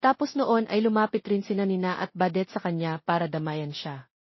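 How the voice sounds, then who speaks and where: A woman's voice: lowish voice, a little fast, no echo, lo-fi sound. A woman reading a scripted romance story as its narrator, close to the microphone.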